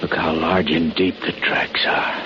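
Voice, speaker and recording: An actor playing a steady, determined castaway who takes charge in an old-time radio drama, speaking deliberately. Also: male voice, rumbling low. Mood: pensive, cautious. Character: steady, determined man stranded on a tropical island. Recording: noisy, muffled vintage radio recording.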